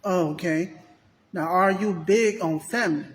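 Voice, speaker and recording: A man's voice: high voice, a little slow. A man hosting a podcast who asks short, plain questions and often starts with "okay". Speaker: podcast host, interviewer. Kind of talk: interview questions. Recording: reverberant room, faint noise.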